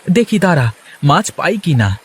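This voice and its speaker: A man voicing a poor, excitable village fisherman in a folksy moral-story cartoon. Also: on the high side, normal speed, husky. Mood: eager.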